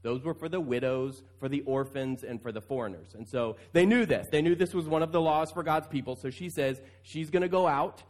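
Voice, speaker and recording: A man's voice: on the high side, a little fast, hardly any pauses, darkish tone. A male pastor preaching in a conversational style, stretching key phrases for emphasis. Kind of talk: conversational sermon.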